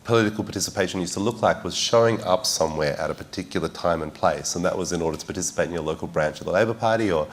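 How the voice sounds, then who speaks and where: A man's voice: low voice, very fast, few pauses, a little hiss or hum. A man speaking on a discussion panel, thoughtful, often repeating words as he builds a point.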